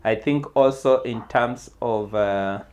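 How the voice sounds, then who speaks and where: A man on a casual podcast panel who has a loud voice and speaks haltingly with repeated words. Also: medium pitch.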